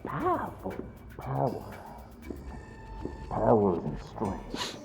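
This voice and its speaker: An actor playing a gruff, greedy thug hungry for power, with a gravelly, brutish drawl, in a fantasy audio drama. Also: medium pitch, huge pitch swings, very slow, heavily acted. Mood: covetous, muttering. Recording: music behind the voice. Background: music.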